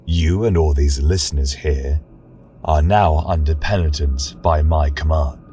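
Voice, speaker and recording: Guy doing a strict church knight, very low voice, cold n bossy, slow, music behind. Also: warm tone. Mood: stern, commanding. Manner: commanding, formal, cold. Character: stern, imperious male holy paladin silencing heretics. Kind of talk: fantasy audio drama.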